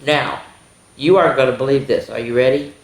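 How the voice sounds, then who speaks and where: Echoey woman reading aloud to children. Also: extremely low pitch. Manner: expressive, exclaiming, pausing to comment and ask the listeners. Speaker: children's story time host. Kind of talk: animated read-aloud of a picture book to children. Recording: echoey room.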